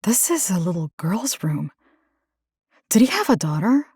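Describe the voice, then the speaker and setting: Dry studio sound, wry young game heroine, caring, plucky, lively mid-range pitch. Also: female voice, unhurried, breathy edge, no echo, heavily acted. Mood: focused, indignant. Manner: casual, wry, expressive, slipping into Spanish slang. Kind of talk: video game character voice lines.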